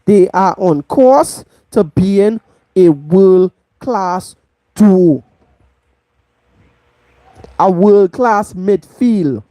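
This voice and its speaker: Trinidadian woman, football vlog host, unhurried and emphatic, dry close sound. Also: mid-range voice, animated, dark tone, dry recording. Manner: emphatic, repeats points for stress. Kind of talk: live football match review.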